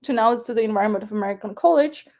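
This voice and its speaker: A young woman with a Ukrainian accent, her voice sitting a little high. She talks in a loose, animated way, full of fillers, restarts and quick laughs. She is a guest recounting her own story in an interview. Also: dull audio.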